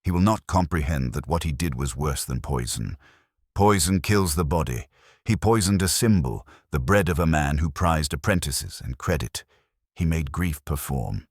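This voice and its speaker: A man voicing a deep, pompous armchair detective. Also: lively intonation, moderate pace, breathy edge, dry recording, studio quality, full character voice. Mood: cool, scornful. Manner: pronouncing, formal, terse. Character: brilliant, pompous, stern male armchair detective.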